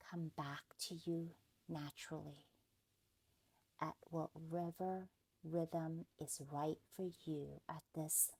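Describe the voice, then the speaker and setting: A woman guides a meditation very slowly, with a lot of creak in her voice. Her pitch sits on the low side for a woman. She speaks gently and deliberately, leaving space between short phrases. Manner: soothing, gentle, deliberate, with pauses. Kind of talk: guided meditation, scripted narration.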